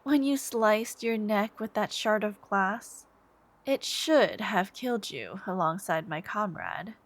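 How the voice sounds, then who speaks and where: Slow, coy villainess played by a woman. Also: mid-range voice, animated. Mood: admiring, obsessed. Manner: coy, unhurried, theatrical, lapsing into breathy murmurs. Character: smitten young female supervillain stalker, sweetly menacing. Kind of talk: intimate ASMR roleplay monologue.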